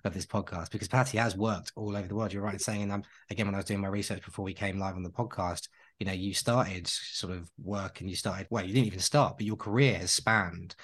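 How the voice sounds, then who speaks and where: A man's voice: deep voice, fairly even intonation, fast, fairly bright tone, husky. A man co-hosting a podcast, chatting casually and enthusiastically, piling on praise and rambling through restarts. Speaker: podcast co-host.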